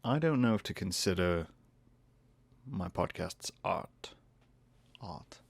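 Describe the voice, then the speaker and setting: A man with a Northern English accent, hoarse and croaky today, rambling through a casual solo podcast in a self-deprecating way. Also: deep voice, expressive, slow pace, dry recording. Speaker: podcast host and artist. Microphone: desk microphone close to face.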